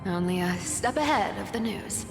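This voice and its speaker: A woman playing a worldly, nimble demigod scout in a video game, talking in a wry, casual, unhurried manner. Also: mid-range voice, expressive, vocal fry. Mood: wry, cool. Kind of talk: dramatic game voice acting. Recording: reverberant hall, music behind.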